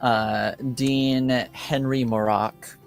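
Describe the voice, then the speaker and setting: A man improvising narration as game master of a tabletop roleplaying game, speaking in a halting, deliberate way. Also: medium pitch, fairly even intonation, faint music behind.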